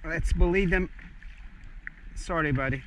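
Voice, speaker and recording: A man fishing from a kayak, giving excited casual commentary to viewers, recorded on a head-mounted action camera outdoors on the water. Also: fairly high pitch, a little slow. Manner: excited, talks to viewers in short bursts. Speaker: fishing vlogger. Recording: faint wind and water noise outdoors.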